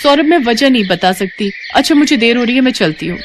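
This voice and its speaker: A woman's voice: a little high, animated, fast talker, noisy background, natural voice. A woman playing an earnest, devoted young twin sister in a moral story. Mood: apologetic.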